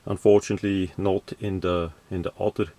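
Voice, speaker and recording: A man giving an unscripted product review and demonstration in a hesitant, halting way, often repeating words. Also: low-pitched, flat delivery, dark tone. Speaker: radio reviewer.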